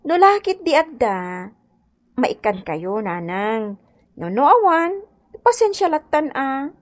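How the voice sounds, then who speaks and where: Helpful young wife, high voice, sympathetic, obliging, Ilocano accent, radio comedy drama. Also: female voice, sing-song, slow pace, heavily acted. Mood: kind, apologetic. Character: kind, helpful young married daughter-in-law, Vivian.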